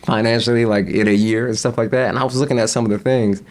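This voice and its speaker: A young man chatting casually as a podcast guest, relaxed, with frequent laughs and fillers. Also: medium pitch, fast talker. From United States.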